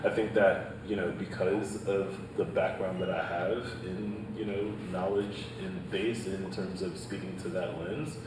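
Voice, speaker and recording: A man's voice: low voice, level tone, measured pace, hardly any pauses. A man from Haiti, speaking earnestly on a panel and peppering his points with "right?" and "um". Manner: earnest, repeats "right?" and "um", leans on data. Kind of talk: panel discussion answer. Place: panel event in a hall. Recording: echoey room, distant mic, background noise.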